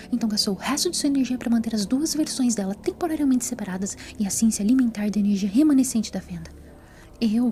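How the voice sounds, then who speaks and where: A woman's voice: fairly high pitch, warm tone, close to an everyday voice. A woman playing an anxious but resolute time-travelling heroine in an audio drama.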